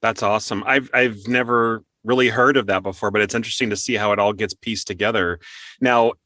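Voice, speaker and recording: Bright-voiced male interview host, dry sound. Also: lowish voice, fairly even intonation, a little fast, dry recording. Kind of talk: casual interview chat.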